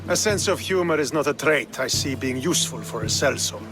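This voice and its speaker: An actor playing a stern, proud young Spartan military commander in a video game, speaking formally and with earnest authority. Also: male voice, mid-range voice, animated, brisk, close to an everyday voice. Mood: stern, challenging. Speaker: video game character, army officer. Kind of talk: dramatic video game cutscene acting. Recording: game soundtrack music behind the voice.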